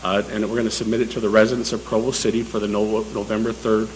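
Low-voiced man presenting to a council. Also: level tone, fast, a little hiss or hum, rough recording. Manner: methodical, hesitant with frequent fillers. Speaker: city staff presenter. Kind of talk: formal public meeting presentation. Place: city council chamber.

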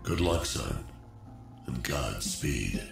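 An actor playing a stern, weary old army colonel in a video game, gravelly, with clipped fatherly military speech. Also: a bass voice, expressive, drawn-out words, very echoey, over loud music, exaggerated voice. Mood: approving, fatherly. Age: older.

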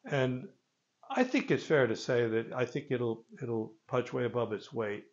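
An older man giving an informal product presentation in a gravelly voice, with halting, hesitant phrasing, recorded on a phone a few meters away. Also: mid-range voice, sing-song, muffled recording. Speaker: loudspeaker company presenter. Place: carpeted listening room with stone wall, indoors. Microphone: phone camera a few meters away.

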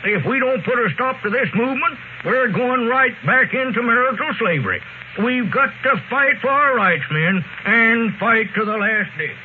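An actor playing a pompous, alarmist small-town squire, urgent and oratorical, in classic radio comedy style. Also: male voice, very high-pitched, expressive, moderate pace. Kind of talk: 1930s-40s radio comedy acting. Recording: faintly noisy, narrow-band old radio recording.

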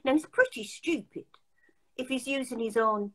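A woman's voice: sits high, normal speed, fairly bright tone, low-quality recording. An older woman hosting a casual chat over a video call from her home, emphatic and full of restarts. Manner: chatty, emphatic, many restarts. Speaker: host. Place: home room.

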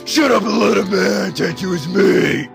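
Man voicing a creaky, lecherous cartoon dad. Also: sits high, a little slow, full character voice. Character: lecherous, crude, slow-drawling dad. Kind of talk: anime parody dub. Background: music.

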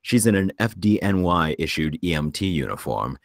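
Male narrator for script directions, extremely low voice, close dry recording. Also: expressive, normal speed, vocal fry, no room sound. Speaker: screenplay narrator at a table read.

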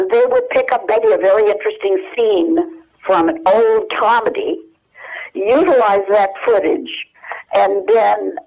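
An older woman with an American accent, reminiscing casually as an interview guest and searching her memory hesitantly as she talks over the telephone. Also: medium pitch. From United States. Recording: dull lo-fi telephone hook-up.

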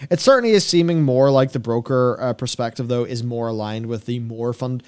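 Male gaming co-host, thirties, smooth clean voice, offhand and flippant, close studio mic. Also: mid-range voice, brisk, darkish tone. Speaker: co-host of a gaming discussion show. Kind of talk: casual lore commentary. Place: small indoor podcast studio. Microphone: close broadcast microphone on boom arm.

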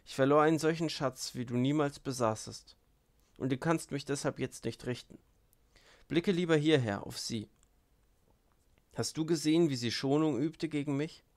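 A man voicing a gentle, devout young man in an audiobook, earnest, solemn and heartfelt. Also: on the high side, moderate pace, pitched above the performer's own voice, lightly acted. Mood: solemn, moved.

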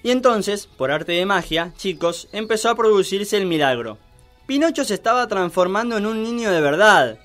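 Guy narrating a spoof kids story, highish voice, music under it. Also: expressive, moderate pace, a bit bright. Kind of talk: mock children's storytelling, scripted narration.

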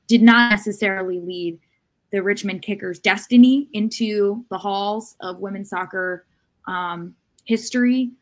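A woman in her thirties co-hosting a sports podcast on a video call from home, with halting, hedged phrasing. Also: medium pitch, a bit bright. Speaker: podcast co-host. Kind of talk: casual sports discussion. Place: room at home, indoors.

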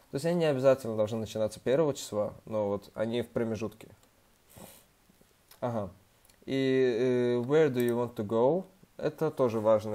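A young man talks through a screen-recorded tutorial in a relaxed, chatty way, full of fillers and restarts. His voice is mellow and mid-pitched, and he sounds a little under the weather. He speaks into a computer mic. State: slightly ill, voice a bit off. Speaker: student organization member giving a tutorial.

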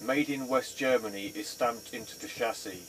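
A man giving a scripted demonstration in a plain, measured, matter-of-fact way. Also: mid-range voice, a bit bright, low-quality recording. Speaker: presenter demonstrating vintage equipment. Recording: faint background noise.

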